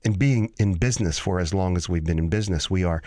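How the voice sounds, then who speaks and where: Give me an American man on a radio show, stumbling through his words in a low voice. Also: warm tone, dry recording, studio quality. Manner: halting, full of fillers and restarts. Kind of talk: casual radio chat. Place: radio studio.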